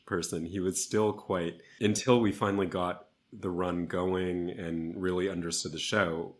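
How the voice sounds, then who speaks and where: Low-voiced man, measured interview guest. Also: fairly even intonation. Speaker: theatre artist guest. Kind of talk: reflective interview conversation.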